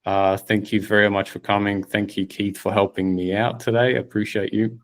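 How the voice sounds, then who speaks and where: A man's voice: fairly low pitch, flat delivery, moderate pace. A man hosting a course video call, upbeat and encouraging. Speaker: course host. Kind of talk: casual call wrap-up.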